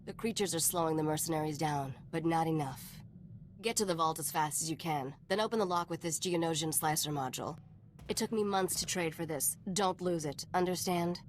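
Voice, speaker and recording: Game casting: actress as tough smuggler woman, low register, blunt and unapologetic, faint noise behind. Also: natural voice. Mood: brisk, businesslike. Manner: blunt, brisk, matter-of-fact. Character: hard-bitten, self-serving woman smuggler partner, unapologetic. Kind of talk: video game voice acting.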